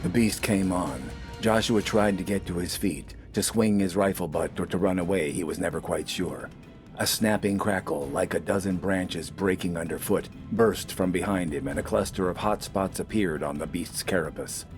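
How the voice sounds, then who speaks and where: A male audiobook narrator with a fairly low voice. He reads scripted dramatic fiction, with faint music playing underneath. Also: moderate pace.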